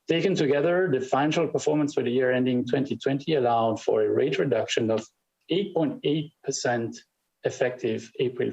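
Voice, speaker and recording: A man's voice: medium pitch, gravelly, a little mellow, rough recording. Man giving formal testimony. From Switzerland. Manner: measured, reading scripted remarks. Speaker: corporate chief executive testifying. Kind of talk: formal prepared statement. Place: legislative committee room.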